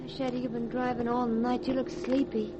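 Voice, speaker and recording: A woman's voice: on the high side, flat delivery, brisk, background noise, close to an everyday voice. A woman playing a breathless young widow. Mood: eager. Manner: breathless, eager, easily rattled. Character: nervous young widow, crook's girlfriend. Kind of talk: 1940s radio acting.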